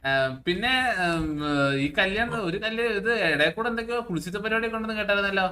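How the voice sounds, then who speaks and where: Man, high voice, sharp and forceful, teasing game roleplay banter. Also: fast talker.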